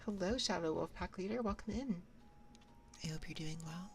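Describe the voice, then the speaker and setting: A woman streaming ASMR, chatting softly and rambling with lots of fillers, sometimes dropping to a whisper. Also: on the low side, moderate pace, faint music behind. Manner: rambling, many fillers and restarts; soft-spoken, drifts into whispers. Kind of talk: soft casual stream chat. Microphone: binaural mic.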